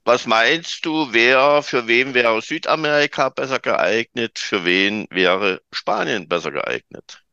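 Formal male podcast host, bright voice. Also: medium pitch, animated, clear, resonant tone, no echo, highs cut off. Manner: formal, measured presenter. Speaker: podcast host, exchange advisor. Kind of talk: podcast interview.

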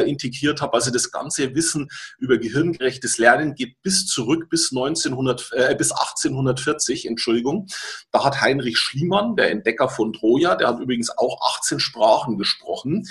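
A man's voice: medium pitch, fairly bright tone. German man explaining in a webinar. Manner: didactic, repeats key words for emphasis, checks in with "ne". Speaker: language-learning coach and webinar presenter.

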